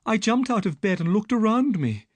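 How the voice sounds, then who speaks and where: A man's voice: high-pitched, very animated, normal speed, no echo, pitched above the performer's own voice. A man voicing a frightened young woman who pleads for help. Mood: fearful. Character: frightened young woman telling her story.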